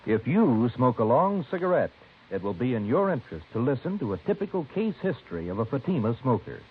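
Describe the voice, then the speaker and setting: Old time radio ad guy, husky, swoops around a lot, selling stuff, tinny recording. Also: mid-range voice, big pitch swings, brisk. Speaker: commercial announcer. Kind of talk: 1950s radio cigarette advertisement, polished announcing.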